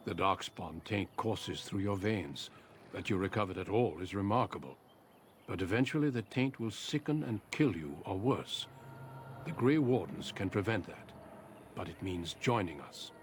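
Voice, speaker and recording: A dignified warrior of a knightly order for a fantasy game. His voice is fairly low, calm and authoritative, with formal measured delivery and faint noise behind it. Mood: grave. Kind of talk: fantasy video game acting.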